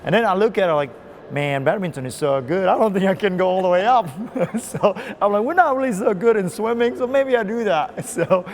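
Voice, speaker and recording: A man's voice: high-pitched, lively intonation, a little fast, a little hiss or hum. A man from Bali who coaches college swimming, chatting in a rambling way with many fillers and restarts. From Indonesia (Bali). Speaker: swim coach. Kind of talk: casual interview.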